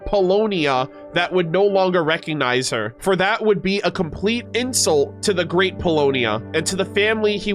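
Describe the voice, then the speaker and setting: A man's voice: sits high, a bit bright. A man streaming a video game, reading lore aloud and reacting informally to his chat. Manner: informal, mild swearing, addresses chat.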